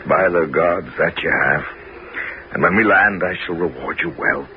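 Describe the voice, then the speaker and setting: An actor playing Ulysses, a weary and proud wandering hero, speaking gravely in a 1970s radio drama. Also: male voice, moderate pace, a little hiss or hum, natural voice. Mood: tense. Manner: deep, grave, turning to frantic shouting. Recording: dull old radio recording with loud music.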